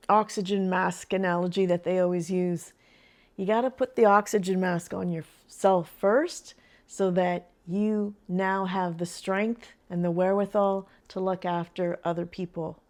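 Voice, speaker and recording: An older woman, a retired teacher and grandmother, talks in a chatty, earnest way to camera, sharing advice and often laughing softly between thoughts. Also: medium pitch. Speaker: vlogger. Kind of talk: casual advice vlog.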